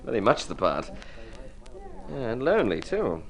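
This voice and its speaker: A man's voice: mid-range voice, wildly swooping pitch, measured pace, poor audio. An actor playing a breezy, talkative, idle young male painter in a hearty, theatrical old radio drama style. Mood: breezy, amused. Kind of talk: vintage radio drama acting.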